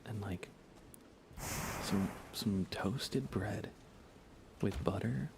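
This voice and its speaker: A soft-spoken man chatting casually on an ASMR gaming livestream, rambling unhurriedly and often slipping toward a whisper. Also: fairly low pitch, drawn-out words, vocal fry, noisy background. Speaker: livestreamer playing a video game.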